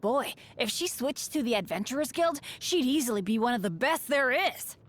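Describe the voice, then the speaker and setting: A woman's voice: high-pitched, animated, normal speed. A woman voicing a cheerful, unlucky teenage boy adventurer in a video game, chatty, earnest and self-deprecating. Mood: friendly, chatty. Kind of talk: video game character voice lines.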